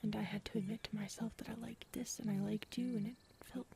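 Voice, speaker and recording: A woman playing a big, earnest predatory alien woman in an intimate audio roleplay, soft and hesitant, often murmuring. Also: medium pitch, fairly even intonation, quick pace, a little hiss or hum, natural voice. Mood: tender, uncertain. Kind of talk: audio roleplay acting, addressed to listener.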